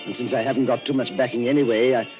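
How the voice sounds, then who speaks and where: A man's voice: medium pitch, steady tone, very fast, close to an everyday voice. An actor playing a formal, solicitous but uneasy businessman husband in 1940s radio style. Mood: reassuring. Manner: formal, reassuring. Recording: muffled vintage radio recording with music.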